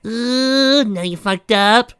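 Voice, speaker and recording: A man voicing a hyper, bubbly teenage girl who taunts in a squealing sing-song, pitched far above his own voice. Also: big pitch swings, fairly quick, a bit bright, clean tone, no room sound, clean studio sound. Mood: gleeful taunting. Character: bubbly hyper pink-haired teen girl, gleefully mocking.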